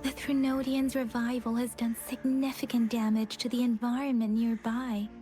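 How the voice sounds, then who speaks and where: An actress playing a watchful young scout in a video game, speaking in a grave, earnest, careful way. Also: female voice, on the high side, flat delivery, moderate pace, dark tone, faint music behind, close to an everyday voice. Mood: grave, worried. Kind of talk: dramatic video game voice acting.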